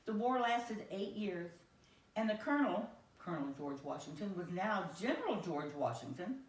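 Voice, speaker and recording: An American woman telling a story to young children in a simple, deliberate teacherly way, with questions to her listeners. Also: medium pitch, expressive. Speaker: children's teacher reading aloud. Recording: roomy echo, distant microphone.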